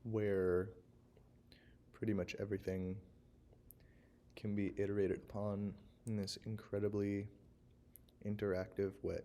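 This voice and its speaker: Hoarse young man, flat and slow. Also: on the low side. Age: young adult. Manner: halting, unscripted, frequent pauses. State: hoarse, froggy sore-throat voice. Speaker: university student presenter. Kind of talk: recorded class presentation, screen walkthrough.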